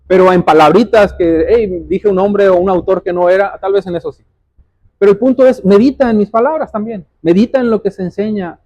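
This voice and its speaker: A man delivers a sermon in a high-pitched yet mellow voice. He explains scripture like a teacher, repeating key phrases and asking pointed questions of his listeners. The sound is dry and a little muffled. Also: brisk, dry recording. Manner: addresses listeners directly as brothers. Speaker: preacher.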